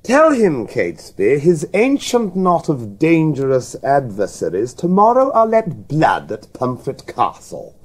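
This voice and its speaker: Husky, sardonic scheming duke. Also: male voice, fairly high pitch, wildly swooping pitch, unhurried, hardly any pauses, darkish tone, heavily acted. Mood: sardonic relish. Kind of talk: Shakespearean radio drama acting.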